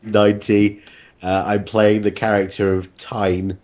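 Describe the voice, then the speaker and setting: A man's voice: on the low side, level tone, normal speed, darkish tone. A man chatting casually as an interviewed voice actor, in a joking, self-mocking manner. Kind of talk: casual chat.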